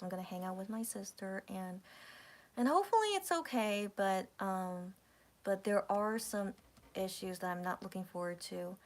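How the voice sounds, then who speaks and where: A woman's voice: mid-range voice, a little hiss or hum. Woman, 30s, livestream host; casual rambling talk with restarts and whispered asides. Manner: rambling, many restarts and fillers, drops to whispers. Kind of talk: casual chat with viewers.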